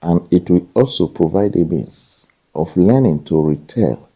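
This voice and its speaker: Low, creaky, mellow man, formal delivery. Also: expressive. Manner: formal, measured, bookish wording. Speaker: academic contributor. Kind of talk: formal prepared statement, read out.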